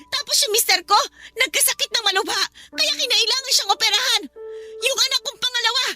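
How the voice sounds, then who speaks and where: A woman's voice: extremely high pitch, a little fast, music in the background. A woman playing a spiteful middle-aged aunt in a Tagalog radio drama, scolding and blaming with bitter scorn. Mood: furious accusing. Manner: accusing, scolding, emphatic.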